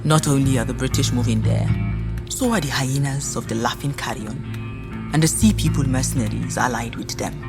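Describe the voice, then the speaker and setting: Stern female officer, extremely low voice, measured, perceptive, music behind, audio drama. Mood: serious.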